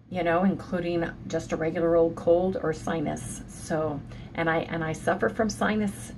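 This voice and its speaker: A woman in her sixties, hoarse and a little nasal from sinus trouble, chatting casually in a morning vlog from her home office. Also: on the low side, background noise. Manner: chatty, keeps saying "you guys". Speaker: vlogger.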